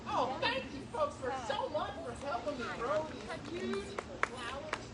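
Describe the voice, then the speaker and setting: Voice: high voice, very animated, normal speed. A performer voicing a sweet but scolding country grandma with exaggerated folksy talk in a live puppet show. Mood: cheerful, coaxing. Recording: roomy distant live recording, loud crowd noise.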